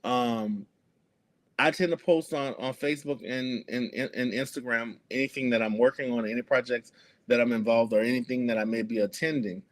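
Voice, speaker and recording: A man's voice: mid-range voice, steady tone, moderate pace. A man, speaking earnestly as an activist guest in an interview, with many fillers and restarts. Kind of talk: conversational interview.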